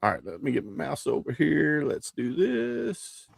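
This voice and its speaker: A man's voice: on the high side, wildly swooping pitch, unhurried, creaky, breathy edge, dry recording, studio quality. A man hosting a podcast, upbeat and chatty, laying out the topics with many fillers. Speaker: podcast host. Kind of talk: casual hosting chat.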